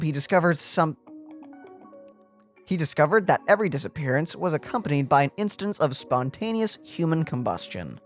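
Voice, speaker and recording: A let's play streamer reading game text aloud and adding casual commentary. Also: mid-range voice. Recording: game music in background.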